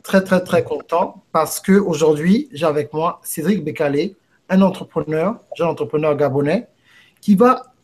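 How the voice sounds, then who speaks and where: Effusive husky-voiced man hosting. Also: a little high, moderate pace, a little mellow. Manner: effusive, exclaims wow often. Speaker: interview host. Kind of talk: enthusiastic interview hosting.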